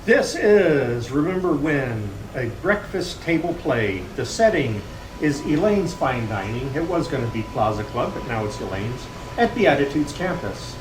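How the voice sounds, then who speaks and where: A man's voice: mid-range voice, very animated, a little slow, music in the background. A man informally introducing and narrating a readers theater play. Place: room at a campus event. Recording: roomy, distant mic, clear background noise.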